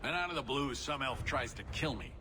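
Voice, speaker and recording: An actor playing a cornered, foul-mouthed small-time smuggler in a video game, gruff and sarcastic, griping in colorful slang. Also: male voice, mid-range voice, animated, a little fast, close to an everyday voice. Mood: exasperated. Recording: loud music under the voice. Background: game music.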